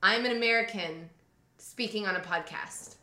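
A woman's voice: mid-range voice, moderate pace, sharp tone, roomy sound, slight background noise. A woman co-hosting a podcast, chatting in a playful, teasing way and laughing easily. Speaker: podcast co-host. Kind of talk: casual chat.

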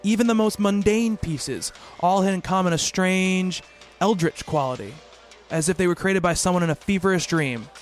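A man playing an earnest, bookish doctor and devoted fiancé in a radio play, speaking in a measured, literary way. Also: high-pitched, faint music behind, lightly acted. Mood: wistful narrating. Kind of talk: radio play narration and dialogue.

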